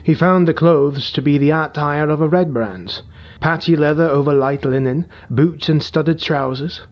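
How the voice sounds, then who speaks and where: A man narrating a dark fantasy audiobook in a measured, somber storytelling voice. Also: a little high, dull audio.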